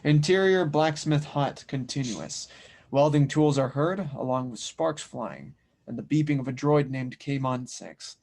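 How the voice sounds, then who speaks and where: A man's voice: medium pitch, a little slow, vocal fry, a little mellow. A man reading scripted narration and stage directions for an audio drama table read.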